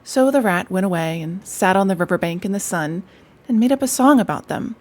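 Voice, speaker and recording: A woman narrates a storybook aloud in a gentle, unhurried voice. She keeps things casual, with soft fillers between passages, and her pitch is moderate and even. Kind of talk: cozy read-aloud narration.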